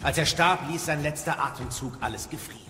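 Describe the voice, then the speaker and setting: An actor playing a knowing, wry magical advisor in a video game audio drama, explaining things chattily. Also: male voice, fairly high pitch, a little fast, lightly acted. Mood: solemn, explaining. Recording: long reverb, clear background noise.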